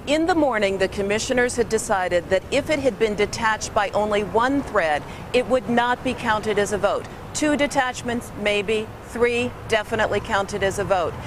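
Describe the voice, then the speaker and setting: A woman delivers an explanatory TV news report in a mid-pitched voice. She speaks as a correspondent on location, and faint ambient noise sits behind her. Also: moderate pace, hardly any pauses.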